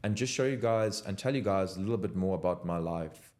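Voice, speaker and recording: A young man speaks in a deep, low voice. He talks to the camera from his desk at home, earnest and motivational. He sounds direct and unscripted. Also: a little fast, darkish tone. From South Africa. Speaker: content creator. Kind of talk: unscripted talking-head vlog.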